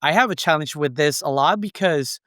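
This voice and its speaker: A man's voice: on the high side, expressive, moderate pace, fairly bright tone, dry recording, clean studio sound. A native Spanish-speaking man with a Puerto Rican accent, giving explanatory advice as a guest in a conversational interview. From Puerto Rico. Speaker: podcast guest, consultant.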